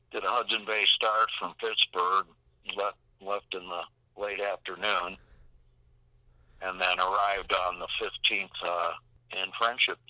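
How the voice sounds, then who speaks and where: An older man tells his own story casually and haltingly. His voice is low but very sharp and forward, and the sound is dry, with little room on it. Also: no room sound, poor audio. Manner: halting, unhurried recollection. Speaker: expedition veteran being interviewed. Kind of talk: casual reminiscence.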